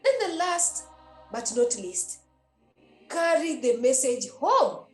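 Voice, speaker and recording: High-voiced nun exhorting youth. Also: female voice, very animated, slow, darkish tone. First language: a Kenyan language. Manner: earnest and repetitive, with many hesitations. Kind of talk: exhortation to young people. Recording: roomy echo with faint music.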